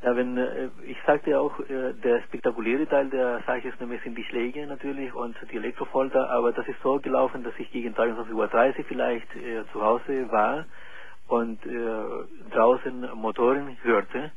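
Halting gravelly Chilean man on a call-in line. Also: medium pitch, flat delivery, narrow, tinny audio. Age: 40s. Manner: hesitant, halting with many fillers. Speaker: caller. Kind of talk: call-in radio conversation.

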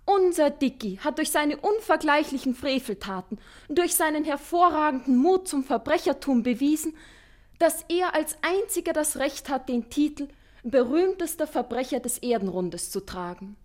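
Really high voiced fangirl in an old radio satire, earnest speech, totally adoring. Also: animated, normal speed, a little mellow. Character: gushing young female fan club devotee of a gangster. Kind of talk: 1950s German radio crime satire acting.

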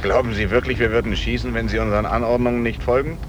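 An actor in a German radio play playing a polite, controlled gang leader who speaks formally with faint menace. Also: male voice, steady tone, fast talker, lightly acted. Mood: politely firm. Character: polite, coolly controlled male gang leader abducting a priest. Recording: noisy radio drama with vehicle rumble.

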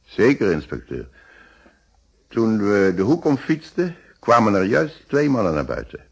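A middle-aged man in a radio drama, speaking in a low, husky voice. He is a decent, polite witness who picks his words with care and hesitates. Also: sing-song, measured pace, muffled recording, exaggerated voice. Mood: careful, cooperative. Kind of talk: Dutch radio play acting.

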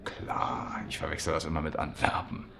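A man's voice: very deep voice, fairly even intonation, moderate pace, creaky, a bit bright, heavily acted. An actor playing a casual, wry man in a naturalistic radio play, talking in a colloquial, offhand way. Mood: casual, joking. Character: casual, wry, sporty man hiding unemployment. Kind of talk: naturalistic radio drama acting.

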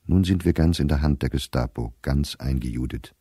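A man's voice: very deep voice, moderate pace, mellow, breathy edge, no room sound, clean studio sound. An actor playing a weary, persecuted middle-aged diarist in a radio play, reading his entries in a terse, restrained, bitter way. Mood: weary, sober. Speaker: diary reader in a radio play. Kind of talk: sober literary diary reading.

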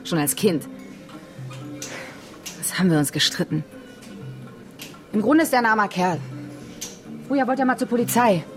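A woman's voice: medium pitch, animated, normal speed, long pauses, close to an everyday voice. Blunt, scornful woman over music, acted. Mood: dry, disdainful. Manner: dismissive, dry. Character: blunt, scornful sister, unimpressed by her brother. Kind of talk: naturalistic German radio crime drama acting.

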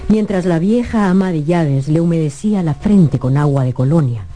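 A woman's voice: sits low, lively intonation, moderate pace, dark tone. A woman narrating a scripted radio drama with a dramatic storytelling delivery.

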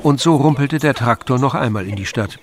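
A man's voice: mid-range voice, a little fast, background noise. A man reading scripted literary narration as the narrator of an audio story.

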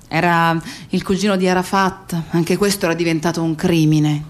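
An actress playing an impassioned woman testifying for a murdered friend, emphatic and deliberate, in an Italian radio play. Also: fairly low pitch, fairly even intonation, natural voice. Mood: wistful. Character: impassioned female witness defending a murdered poet friend. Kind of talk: Italian radio theatre monologue.

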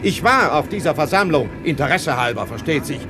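Haughty bourgeois accuser, high voice, declamatory and spiteful, vintage radio play over music. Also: male voice, animated, a little fast. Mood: scornful. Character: pompous, spiteful bourgeois gentleman denouncing invalids. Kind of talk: 1960s German radio play acting.

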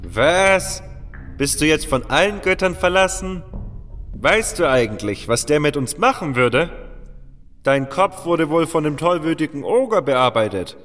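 A man doing a gruff, worried guard leader. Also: a little high, big pitch swings, unhurried, a bit bright, pitched above the performer's own voice. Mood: alarmed. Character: blunt, worried male leader of mine guards. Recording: long hall reverb, faint music.

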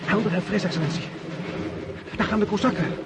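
A flustered eager servant in a war radio play, high voice, talks fast, loud gunfire behind. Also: male voice. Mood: urgent, excited. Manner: urgent, deferential. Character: excitable, deferential manservant waking his master in wartime. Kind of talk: Dutch radio play acting. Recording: loud artillery battle noise behind.

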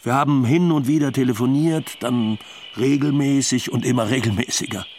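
A man's voice: mid-range voice, normal speed, darkish tone, a little hiss or hum, natural voice. A man playing a self-ironic, talkative expatriate doctor, telling his story in a dry, colloquial radio play monologue. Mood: dry, reflective.

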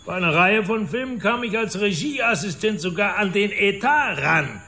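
Bright, high-voiced boastful old self-made tycoon. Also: male voice, lively intonation, normal speed, full character voice. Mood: swaggering. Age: older. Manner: gruff, swaggering, coarse storytelling. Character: boastful, domineering older self-made male Scottish-born property developer. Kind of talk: German radio drama acting.

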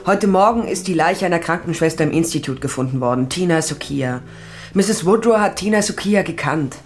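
A woman's voice: lowish voice, animated, a little fast, faint music behind, natural voice. An actress playing a tough, wry female private investigator in a German radio crime drama, blunt and dry. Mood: brisk, pressing. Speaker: narrator and lead actress.

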